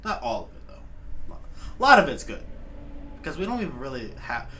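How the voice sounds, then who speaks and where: A man's voice: mid-range voice, big pitch swings, moderate pace, pushed and bright, a little hiss or hum. Casual American man reacting with slang. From United States. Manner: loose, slangy, swears often, laughs a lot. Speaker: reaction video host.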